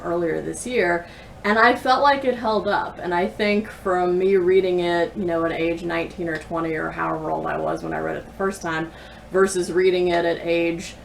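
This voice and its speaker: Distant, noisy recording of a thirtysomething woman. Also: on the low side. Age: 30s. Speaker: book vlogger. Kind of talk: casual book review chat to camera. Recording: distant mic with clear background noise.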